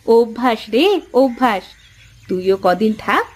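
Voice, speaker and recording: A woman voicing fussing, affectionate village sisters in a cartoon, in an expressive, homely way. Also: on the high side, unhurried. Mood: concerned, chatty. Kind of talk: cartoon voice acting. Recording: faint music behind the voice.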